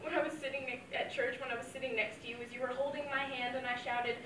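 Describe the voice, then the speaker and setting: A woman's voice: high voice, steady tone, quick pace, echoey room, recorded from a distance, background noise, lightly acted. An actress playing a grieving young mother who talks to her dead father in a heartfelt dramatic monologue. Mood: reminiscing. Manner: heartfelt, wavering between fond memories and anguish.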